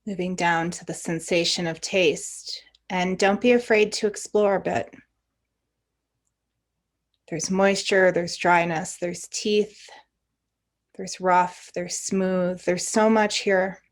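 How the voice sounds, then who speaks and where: A woman leading a guided meditation as a teacher, giving gentle, encouraging instructions with pauses. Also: on the low side, fairly even intonation.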